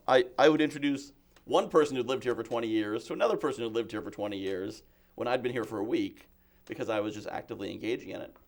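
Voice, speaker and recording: A man from Massachusetts, a guest in an interview, telling self-deprecating stories in a chatty way. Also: medium pitch. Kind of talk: casual interview talk.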